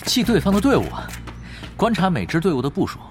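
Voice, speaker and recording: A cool, confident veteran player in a game-world audio drama. His speech is quick, lively and casually teasing, with clear music behind it. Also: high-pitched. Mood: relaxed, confident. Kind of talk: Chinese audio drama acting.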